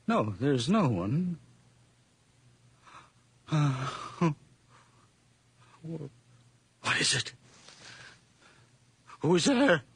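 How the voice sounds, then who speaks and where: An actor playing a frail, fearful old widower in a 1980s radio drama, brooding and weary, with frightened cries at times. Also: male voice, on the high side, creaky, fairly bright tone, husky, exaggerated voice. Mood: terrified. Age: older.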